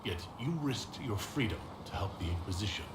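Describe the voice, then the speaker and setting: A man plays an earnest hero from a video game, low-pitched and politely curious. The recording is echoey, with steady noise underneath. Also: fairly quick, close to an everyday voice. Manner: polite, inquisitive. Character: earnest male hero leader. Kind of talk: game cutscene dialogue.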